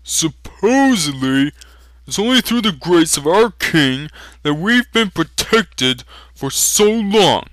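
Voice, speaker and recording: A man's voice: on the high side, sing-song, slow pace, fairly bright tone, no echo, heavily acted. A man playing an exasperated, sarcastic sceptic in a dramatic fantasy reading. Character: indignant, exasperated man dismissing royal propaganda.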